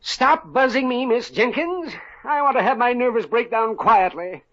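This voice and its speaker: An actor playing a grumpy, sarcastic middle-aged company boss in a 1950s radio comedy, on a muffled old broadcast. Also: male voice, extremely high pitch, animated, moderate pace, background noise. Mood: exasperated. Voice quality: sputtering, sarcastic. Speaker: company owner.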